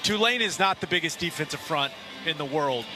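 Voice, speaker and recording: A man giving measured, technical analysis with coaching jargon on a live football radio broadcast. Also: on the high side, lively intonation, over music.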